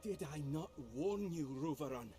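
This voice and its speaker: A man's voice: a little high, animated, moderate pace, mellow, faint music behind, close to an everyday voice. A man voicing a defiant, menacing servant of an evil realm in a fantasy game, declaiming threats.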